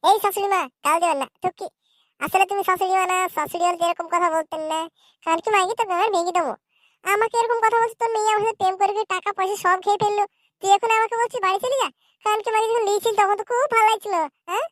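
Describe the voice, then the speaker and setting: A brash young son in a comedy cartoon, with an extremely high voice and very quick delivery. He is quarrelsome and cheeky, given to whining, and sounds dry and close. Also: fairly even intonation, fairly bright tone, no room sound. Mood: indignant.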